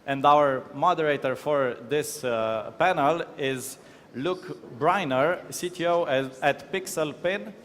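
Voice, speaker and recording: Male event host, slow and deliberate, slightly high voice, in a big echoing hall. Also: a bit bright, slight background noise. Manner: announcing, formal introductions. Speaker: event host introducing the panel. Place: conference stage. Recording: reverberant conference hall.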